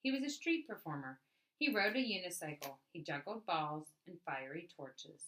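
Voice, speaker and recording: A woman reading a picture book aloud as a storyteller, with expressive narration and dramatic emphasis on key words. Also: lowish voice, moderate pace, low-quality recording.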